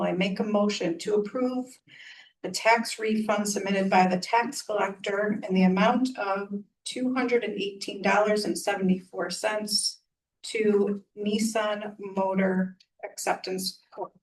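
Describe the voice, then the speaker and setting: A woman's voice: medium pitch, measured pace, slight background noise. A woman on a town board reading out motions and dollar amounts in a businesslike way. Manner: flat, businesslike reading of figures. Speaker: town selectwoman. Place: meeting room. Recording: voice from far off the mic.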